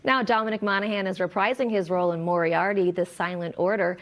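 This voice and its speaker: A woman hosting a TV talk show interview, upbeat and quick to answer with short yeses. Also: medium pitch, fairly even intonation. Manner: upbeat, quick affirmations, laughs easily. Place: TV studio.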